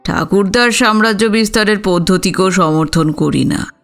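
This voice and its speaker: An actor playing a dignified older village father-in-law in an audio story, proud and reflective, explaining himself in a measured, deliberate way. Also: high-pitched, vocal fry, a bit bright, soft background music. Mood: calm, candid. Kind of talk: Bengali audio story acting.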